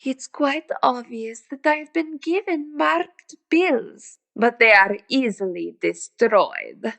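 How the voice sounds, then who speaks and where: A woman playing a menacing, haughty phony psychic in a radio comedy drama, formal, deliberate and imperious. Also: high-pitched, expressive, creaky, fairly bright tone, heavily acted. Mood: cold, threatening. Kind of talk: old-time radio villain acting.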